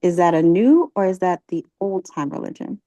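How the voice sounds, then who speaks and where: A woman leading a religious class, asking catechism questions and encouraging her students, often pausing with ums. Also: lowish voice, animated, warm tone, no room sound, dull audio. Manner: encouraging, halting with many ums.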